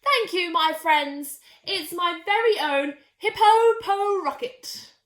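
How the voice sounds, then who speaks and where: A woman voicing an eager, big-dreaming female hippo in a children's storybook, excited and polite. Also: very high-pitched, takes it slowly, echoey room, voice pushed up, full character voice.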